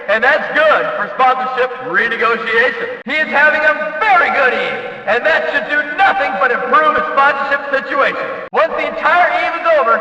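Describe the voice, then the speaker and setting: A race announcer for a racing video game, with a very high, piercingly bright voice. He delivers punchy, opinionated stock-car commentary with excitable emphasis. The sound is heavily echoed, like a distant public-address system. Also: heavily acted. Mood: upbeat, approving.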